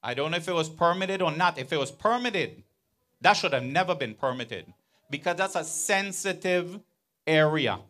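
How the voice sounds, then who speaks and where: A man's voice: fairly high pitch, expressive, normal speed, fairly bright tone. A man in the audience asking a pointed question at a town hall into a handheld mic, earnest and building in emphasis. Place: large outdoor venue with audience.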